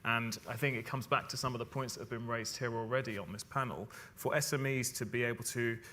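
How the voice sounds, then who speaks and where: A man's voice: medium pitch, steady tone, fast talker, sharp tone, slight background noise. A man answering as a panelist in an explanatory way, often repeating words as he starts a phrase. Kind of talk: panel discussion.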